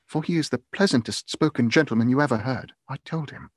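A man's voice: mid-range voice, fairly quick, breathy edge, dry recording, studio quality, pitched above the performer's own voice, natural voice. A man playing a tender, grieving poor father. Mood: warmly earnest. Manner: earnest, emotional, gently rambling. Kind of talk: storybook character voice in audiobook.

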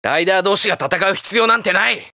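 An actor playing a hot-blooded, earnest young armored hero in a video game, blunt and boyish in manner. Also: male voice, high-pitched, fast talker, creaky, sharp tone, no echo, studio quality, heavily acted. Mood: determined. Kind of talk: shouted battle lines and game voice clips.

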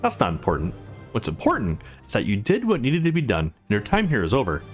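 A man's voice: medium pitch, huge pitch swings, fast. A man voicing a cold, cryptic, menacing male stranger in a visual novel, with music behind. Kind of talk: visual novel dialogue read aloud.

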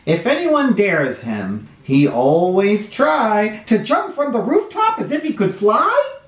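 Animated man reading to kids. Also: high voice, slow pace. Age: middle-aged. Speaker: children's read-aloud host. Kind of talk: animated storybook read-aloud for kids. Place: small bright living room or playroom, indoors. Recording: roomy indoor echo.

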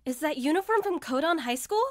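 A woman voicing a starstruck, excitable teenage schoolgirl admirer in a manga dub, full of fangirl enthusiasm. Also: sits high, brisk, dry recording, clean studio sound. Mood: curious. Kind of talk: manga fandub acting. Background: music.

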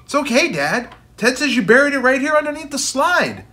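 A man's voice: very high-pitched, sing-song, fairly quick, bright, forceful tone, pitched above the performer's own voice, exaggerated voice. A man voicing an earnest, questioning, loyal young boy in a storybook. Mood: pleading, insistent.

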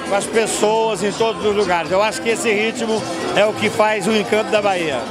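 A middle-aged man with a fairly high voice gives a measured, reflective answer. He speaks into a handheld mic at a carnival at night, with blaring music and a loud crowd behind him. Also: fairly even intonation. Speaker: carnival guest. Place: outdoor carnival box at night. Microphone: handheld mic held by interviewer.

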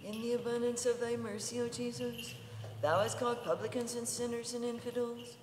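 A woman chants a religious prayer as a liturgical reader, in a solemn, measured, intoned delivery. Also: a little high, fairly even intonation, a little mellow, slight background noise. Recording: echoey hall with long reverb.

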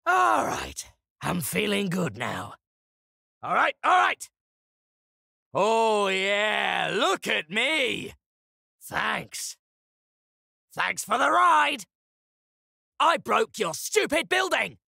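A man voicing a cocky, brash caveman brawler for a video game, taunting in a gravelly, shouty way. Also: sits high, very animated, extremely slow, pauses often, no echo, studio quality, full character voice. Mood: triumphant. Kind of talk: video game character voice lines.

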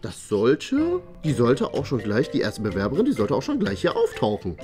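A young man acts out an eager, easily flustered father running a small café in a family comedy sketch. His pitch jumps around in an animated way as he hesitates and stumbles over words. Music plays clearly behind him. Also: normal speed. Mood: eager, polite. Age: young adult. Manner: hesitant, stammering, excitable. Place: small indoor office room.

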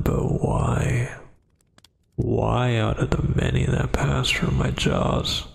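Male actor as hesitant, brooding werewolf for ASMR roleplay; husky, breathy, extremely creaky, often near a whisper. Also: mid-range voice, exaggerated voice. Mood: tormented, bewildered. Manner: slow, halting, brooding, with long pauses. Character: dominant male werewolf, menacing yet hesitant, fighting hungry cravings.

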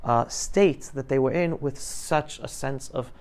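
Male guest speaker, around 50, smooth clear voice, informal classroom talk, emphatic and interactive. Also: mid-range voice, unhurried, a little mellow. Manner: emphatic, questioning the audience. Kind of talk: informal talk to high school students. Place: classroom, indoors. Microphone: lapel mic.

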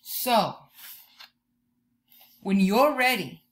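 A woman in her thirties doing an expressive children's read-aloud, drawing out key words like a teacher talking to young listeners. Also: mid-range voice, drawn-out words. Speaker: teacher reading a picture book to children. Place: bedroom or room at home, indoors.

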